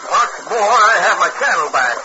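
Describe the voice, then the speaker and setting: A man acting a folksy, excitable cowboy in a 1950s radio western. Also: high-pitched, moderate pace. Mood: satisfied. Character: western rustlers and cattlemen, gruff and excitable. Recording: muffled, noisy old radio recording.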